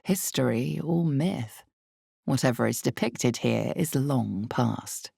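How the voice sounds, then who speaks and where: An all-knowing female storyteller of a dark fantasy video game, her voice extremely low and thick with gravelly fry. She speaks slowly and deliberately, with hushed, portentous weight on each phrase. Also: dry recording, studio quality, exaggerated voice. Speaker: game narrator. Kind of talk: dramatic second-person fantasy game narration.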